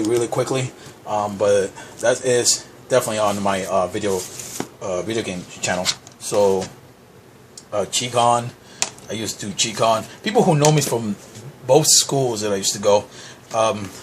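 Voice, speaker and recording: Young puerto rican guy, spanish first language, lowish voice, rambly, faint room hum. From Puerto Rico. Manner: rambling, many fillers and restarts. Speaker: vlogger. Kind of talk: casual show-and-tell monologue. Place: dim room at a desk. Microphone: handheld camera pointed at desk.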